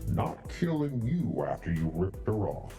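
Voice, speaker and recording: An actor playing a protective, plainspoken middle-aged father in a video game, frank and folksy with a quiet threat underneath. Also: on the low side, big pitch swings, a little slow, recorded from a distance, faint music behind. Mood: frank, menacing. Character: protective, plainspoken older father of an outlaw woman. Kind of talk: scripted video game voice acting.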